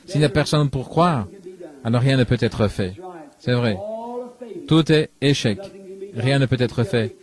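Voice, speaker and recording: A hoarse man with a cold preaching a sermon in an earnest, pleading way. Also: mid-range voice. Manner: earnest pleading, breaks into hymn singing.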